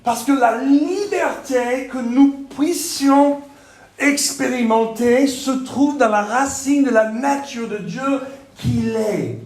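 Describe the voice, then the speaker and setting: A man from Texas with a Texan accent, speaking French as a second language, preaching a sermon with jokes and halting fillers. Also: very high-pitched, a little mellow. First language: English. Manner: joking, self-deprecating, halting with fillers. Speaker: pastor. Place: church hall. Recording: echoey church hall.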